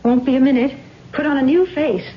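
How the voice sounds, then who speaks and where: A woman's voice: sits high, a little fast, slight background noise, close to an everyday voice. A woman playing a trusting, easily flustered young aspiring actress in a radio drama, with a hesitant delivery. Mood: confused, wistful. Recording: dull old radio recording.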